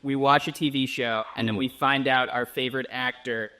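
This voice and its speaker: A man's voice: medium pitch, fairly even intonation, moderate pace, sharp tone. An American man co-hosting a casual interview, chatting informally. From United States. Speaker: interview co-host. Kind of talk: casual chat.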